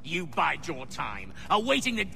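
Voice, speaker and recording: Sharp, high-pitched, haranguing warden. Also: male voice, wildly swooping pitch, measured pace, vocal fry, a little hiss or hum, full character voice. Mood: contemptuous. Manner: pompous, sermonising, contemptuous. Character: scornful, self-righteous male prison warden haranguing inmates.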